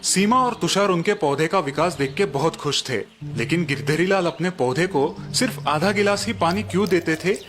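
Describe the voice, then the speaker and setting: A man's voice: on the high side, moderate pace. Man narrating a bedtime story. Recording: music bed behind narration.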